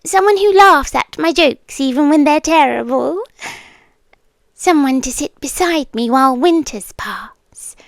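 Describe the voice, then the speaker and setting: A British woman playing a possessive, lonely immortal monster in an intimate ASMR roleplay, speaking deliberately and teasingly and often slipping into whispers. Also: high voice, expressive, creaky, no echo, full character voice. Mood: teasing, imperious. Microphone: close microphone, dry.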